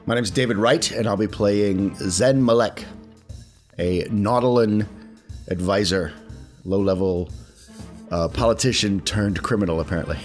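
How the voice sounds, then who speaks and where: A man talking casually as a player in a tabletop game, halting and correcting himself as he goes. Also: lowish voice, faint music behind.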